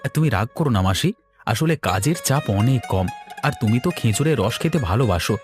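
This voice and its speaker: A man's voice: medium pitch, expressive, a little fast, faint music behind, natural voice. A man voicing a good-natured village father and husband in a cartoon, with a colloquial, placating manner. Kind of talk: Bengali cartoon story dubbing.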